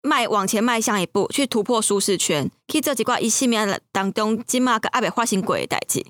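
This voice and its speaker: A woman's voice: on the high side, lively intonation, a little fast, fairly bright tone, dry recording, clean studio sound. A woman with a Taiwanese accent telling things in a casual podcast chat. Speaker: podcast speaker.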